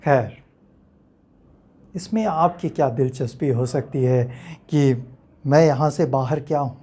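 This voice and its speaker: A man acting a theatrical monologue as an enigmatic, uncertain man who addresses his audience in a reflective, rhetorical manner with deliberate pauses. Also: medium pitch, mellow, husky. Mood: brooding, questioning. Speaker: actor.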